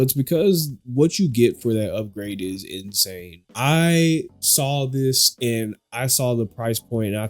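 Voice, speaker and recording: A man's voice: lowish voice, a little mellow, no room sound. A young man with a stuffy nose, drowsy from allergy medicine, ranting to camera in a casual, profane, slangy way. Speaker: gaming vlogger.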